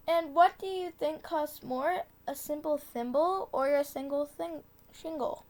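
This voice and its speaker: Woman reading slowly in a high voice. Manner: deliberate, trips over and corrects tongue twisters. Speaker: storybook reader. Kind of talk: reading a children's tongue-twister book aloud with casual asides.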